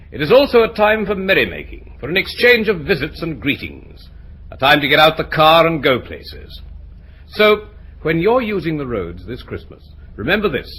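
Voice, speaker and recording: A man reading a formal, earnest, measured announcement as an old-time radio announcer. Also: medium pitch, sharp tone, breathy edge, low-quality recording.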